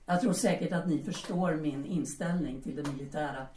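A woman's voice: sits low, mellow, far from the mic, slight background noise, lightly acted. A woman playing a frank, self-possessed and provocative writer in a period audio drama, with a teasing, direct manner. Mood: confiding. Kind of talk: Swedish audio drama acting. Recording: echoey room, off the mic.

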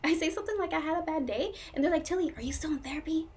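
A woman's voice: high-pitched, racing, darkish tone, natural voice. A woman performing a stage monologue as a whimsical, melancholy dreamer, quirky, chatty and effusive as she confides. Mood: giddy, confiding. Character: whimsical, dreamy, melancholy woman, swinging between joy and sadness.

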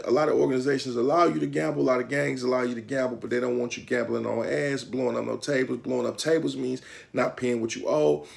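A man's voice: medium pitch, vocal fry. A middle-aged man with a Detroit accent, talking to the camera in a small room at home, giving streetwise advice with lots of hand gestures. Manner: streetwise, instructive, gestures a lot with his hands. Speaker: vlogger. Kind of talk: casual talk to camera.

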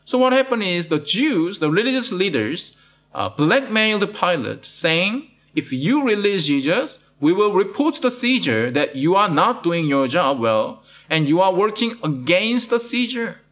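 Casting: middle-aged Malaysian-accented male pastor, high lively pitch, studio sermon, keeps checking "right?". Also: unhurried. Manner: asks "right?" after most points, repeats words. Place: studio with plain blue backdrop.

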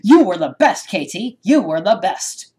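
A woman's voice: medium pitch, sing-song, moderate pace, warm tone. A woman chatting casually and supportively as a co-reactor.

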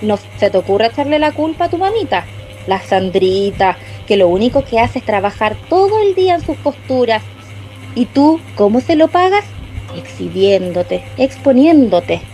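A woman's voice: on the high side, very animated, normal speed, mellow, soft background music, rough recording. A woman with a Chilean accent playing a prudish, gossipy mother in a radio drama, indignant and reproachful. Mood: scolding.

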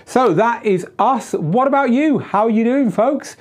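A middle-aged man with a tired, slightly hoarse voice, hosting a live-streamed DJ Q&A from a small studio on a lapel mic, chatty, with lots of fillers and restarts. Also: extremely high pitch, lively intonation, measured pace. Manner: moves around gesturing at equipment while talking. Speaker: DJ tutor and live Q&A host. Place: small home studio with shelves of gear.

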